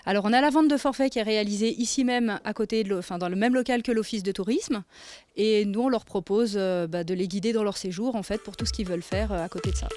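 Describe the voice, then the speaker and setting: A woman's voice: mid-range voice, fast, a little mellow. A woman giving informal, explanatory interview answers as an office administrator. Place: indoors in a tourist office.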